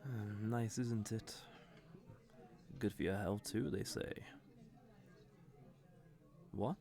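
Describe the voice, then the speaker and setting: A man's voice: on the low side, fairly even intonation, gravelly, a crowd in the background, exaggerated voice. A man playing a cocky, suave fantasy villain who teases and flirts smugly in an audio roleplay. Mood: smug, teasing. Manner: smug, playful, unhurried teasing with soft chuckles. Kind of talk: scripted audio roleplay addressed to the listener.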